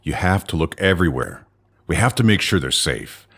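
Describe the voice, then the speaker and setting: An actor playing a stern, watchful mission leader in an audio drama, commanding and deliberate, with a gravelly, husky voice. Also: male voice, low-pitched, big pitch swings, a bit bright, heavily acted. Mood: stern, concerned.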